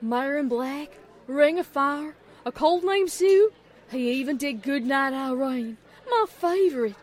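A woman voicing an excitable, good-hearted young farm pony mare with a folksy, earnest manner. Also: sits high, moderate pace, slightly rough, heavily acted. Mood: excited.

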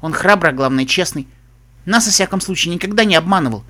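A man's voice: sits high, expressive, a little fast, sharp tone. A man voicing a shy, chirpy young pony girl guide in an audiobook, speaking simply and eagerly. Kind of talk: audiobook character voice.

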